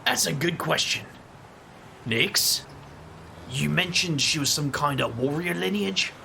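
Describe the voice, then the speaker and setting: Creaky, bright-voiced man acted out in audio drama. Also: on the high side, slow, a little hiss or hum, exaggerated voice. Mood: bemused.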